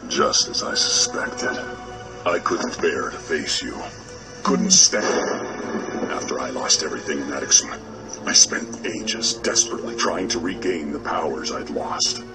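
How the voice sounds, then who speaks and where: A deep-voiced, scheming male villain delivers a theatrical game monologue with big swings in pitch. He sounds self-satisfied and dramatic. Loud music plays over a voice that sits away from the mic. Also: animated, measured pace. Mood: brooding, reflective. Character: scheming male villain boasting of secret inventions. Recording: distant-sounding clip under loud music.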